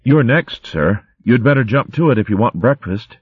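A man's voice: mid-range voice, expressive, moderate pace, breathy edge, no echo, muffled recording, voice pushed up. A man voicing an eager young female hedgehog in a storybook reading. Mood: lively.

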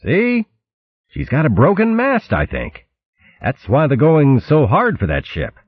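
A man's voice: on the high side, measured pace, slightly rough, no room sound, pitched above the performer's own voice, heavily acted. A man doing an eager young mouse fighter. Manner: steady, matey. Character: brave mouse fighters, plain-spoken. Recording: tinny lo-fi line.

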